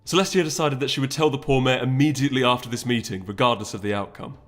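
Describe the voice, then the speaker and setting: A man reads a fantasy story as its narrator. His voice sits at a middling pitch, with music playing behind the scripted narration. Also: fast talker, fairly bright tone. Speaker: audiobook narrator.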